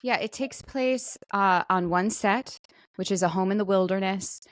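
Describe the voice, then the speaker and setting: A woman in casual co-host banter, with a middling pitch and a very dry recording free of room sound. She talks about herself in a self-deprecating, conversational way. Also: moderate pace, no echo. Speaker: podcast co-host; stage actor.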